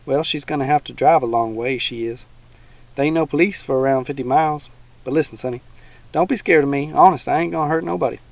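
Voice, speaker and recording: Gruff old swamp hermit with a gravelly backwoods drawl and a raised, louder voice, read by a man. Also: a little high, fast talker, no room sound, pitched above the performer's own voice. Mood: folksy. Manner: folksy backwoods drawl, growling.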